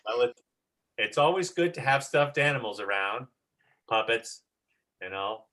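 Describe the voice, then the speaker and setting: Very low-voiced woman leading a video call. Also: lively intonation, measured pace, fairly bright tone. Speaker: host and teacher of an online children's drama session. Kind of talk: casual group video-call chat.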